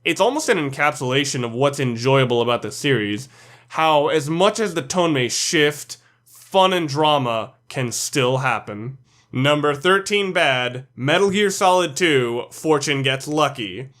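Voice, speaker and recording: A man's voice: a little high, lively intonation, measured pace, fairly bright tone. A man reading scripted commentary for a video game ranking list, blunt and opinionated.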